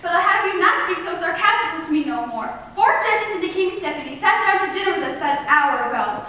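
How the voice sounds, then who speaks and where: A woman's voice: high voice, moderate pace, pushed and bright. A young woman acting an anxious, self-important eighteen-year-old servant girl in a period stage play, with dramatic, emphatic delivery. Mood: haughty, defiant. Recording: echoey room, distant mic, faint noise.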